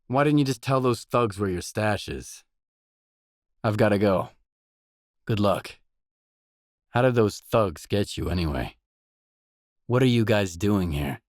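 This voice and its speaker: A man playing a gruff, world-weary stalker in a video game, speaking tersely and bluntly in a low-key way. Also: no echo, studio quality. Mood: terse, matter-of-fact, then blunt, challenging. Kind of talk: scripted game dialogue lines.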